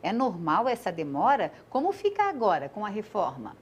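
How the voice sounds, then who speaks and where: A Brazilian woman presenting a live TV news segment in a studio, brisk as she reads out viewer questions. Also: mid-range voice, wildly swooping pitch, lo-fi sound, a little hiss or hum.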